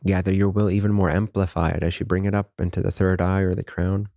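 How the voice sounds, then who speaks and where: A man leading a guided meditation as a teacher, speaking softly, slowly and calmly in reverent phrases with long pauses. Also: low voice, no room sound, dull audio. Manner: calm, unhurried, reverent spiritual phrasing.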